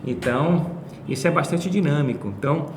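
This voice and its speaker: Casting: male professor, mid-pitched, rapid explanatory delivery, emphatic listing, noticeable background noise and room echo. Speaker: guest professor of architecture. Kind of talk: explanatory academic talk in conversation.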